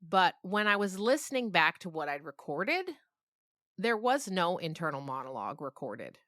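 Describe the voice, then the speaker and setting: A middle-aged woman with a fairly low, clearly bright voice speaks in a conversational interview. She tells things in an animated, reflective way, as a writer explaining her process. The sound is dry. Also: normal speed, dry recording. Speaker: novelist and podcast creator being interviewed.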